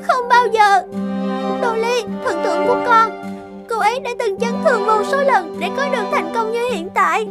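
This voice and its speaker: A performer voicing an earnest, determined young girl in a cartoon dub, childlike and expressive, with music behind. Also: very high-pitched, normal speed. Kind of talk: cartoon dubbing.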